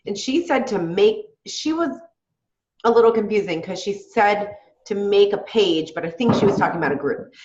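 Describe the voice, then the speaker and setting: A woman's voice: medium pitch, expressive, moderate pace, a little mellow, echoey room, highs cut off. A woman with a head cold, sounding congested, coaching her team on a call in a chatty way and often asking "right?". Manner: chatty, asks "right?" often, laughs between points. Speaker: team leader on a group call.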